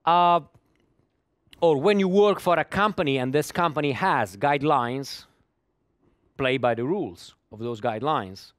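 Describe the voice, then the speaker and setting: A middle-aged man speaks English at a developer conference in a fairly high voice. He often stammers over repeated words as he searches for the next one. The sound is dry and close. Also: measured pace, pauses often, dry recording. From Italy. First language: Italian. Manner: repeats words while searching for them, with small laughs. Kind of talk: technical conference talk.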